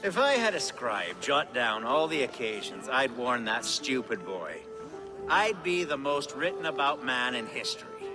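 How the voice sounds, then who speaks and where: A scolding Saxon grump. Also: male voice, medium pitch, sing-song, unhurried, poor audio. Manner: scolding, exasperated. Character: grumbling male Saxon retainer, scolding and gruff. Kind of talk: video game cutscene acting. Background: orchestral game music.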